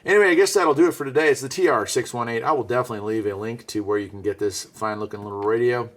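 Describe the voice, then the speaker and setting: A man's voice: mid-range voice, rapid-fire. A man giving a casual, laid-back hands-on product demo, muttering informal asides as he tinkers. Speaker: radio reviewer and vlog host. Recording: faint background noise.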